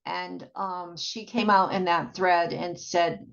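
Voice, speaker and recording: A woman video blogger giving a rambling, casual monologue in a halting, repetitive way, often trailing off. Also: on the low side, fairly even intonation, creaky, a bit bright. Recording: dull, muffled lo-fi sound.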